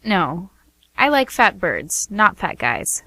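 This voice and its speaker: A woman's voice: medium pitch, big pitch swings, takes it slowly, sharp tone, no room sound, exaggerated voice. A woman playing a coy, quirky, playful young woman in an amateur radio comedy.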